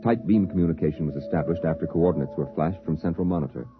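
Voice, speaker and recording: An actor playing a solemn storyteller who narrates a 1950s science fiction radio drama. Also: male voice, sits low, fast talker, phone-quality sound, lightly acted. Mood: solemn, suspenseful. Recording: thin vintage radio recording with music.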